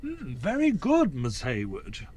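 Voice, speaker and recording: A man playing a formal, gentlemanly doctor who narrates his memoir as dramatic storytelling in an audio play. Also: mid-range voice, huge pitch swings, slow pace, slight background noise. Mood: gentle, courteous.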